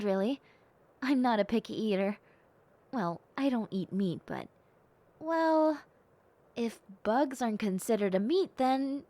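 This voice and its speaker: A woman voicing a timid, excitable young bat-girl hybrid in a roleplay audio drama, polite and gushing, with hesitant little exclamations. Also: high-pitched, dry recording. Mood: chatty, cheerful.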